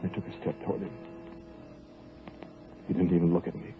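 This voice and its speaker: An actor as a gruff, deep-voiced robber on the run. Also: male voice, flat delivery, brisk, close to an everyday voice. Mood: grim narration. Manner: gruff, clipped, tough-guy delivery. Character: hard-bitten male robber on the run, narrating. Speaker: radio actor and narrator. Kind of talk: 1940s radio drama narration. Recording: tinny lo-fi 1940s radio broadcast with faint hiss.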